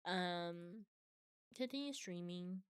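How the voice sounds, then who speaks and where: A woman's voice: fairly low pitch, expressive, slow pace, clean tone, dry recording, studio quality. A young adult woman streaming from home, chatting casually with viewers and answering their comments.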